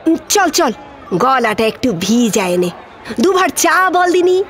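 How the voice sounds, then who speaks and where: A woman playing a proud, sharp-tongued older maidservant in a Bengali audio drama, scolding in a colloquial rural West Bengal accent. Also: high-pitched, big pitch swings, takes it slowly, a faint crowd, a little hiss or hum, full character voice. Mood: chatty.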